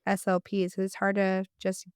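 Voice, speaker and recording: A woman's voice: medium pitch, completely flat delivery, unhurried, darkish tone, clear, resonant tone, dry recording, studio quality. A young adult woman co-hosting a casual podcast, sounding congested from a cold, enthusiastic and rambling. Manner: enthusiastic, rambling, self-correcting. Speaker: podcast co-host, speech-language pathologist. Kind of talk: casual chat.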